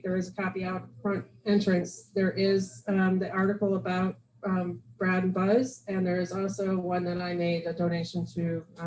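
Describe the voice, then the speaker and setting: A woman leading a club meeting and giving upbeat, brisk announcements into a handheld microphone. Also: lowish voice, darkish tone. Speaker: meeting leader. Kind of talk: casual club meeting chat. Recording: echoey meeting room, faint background noise.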